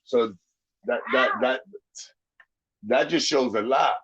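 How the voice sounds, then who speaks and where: A man's voice: mid-range voice, slow pace, a bit bright, slightly rough, lo-fi sound. A man in his forties, from the Dominican Republic, talking bluntly and profanely in casual chat, often tagging on "you know what I'm saying". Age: 40s. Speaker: podcast host.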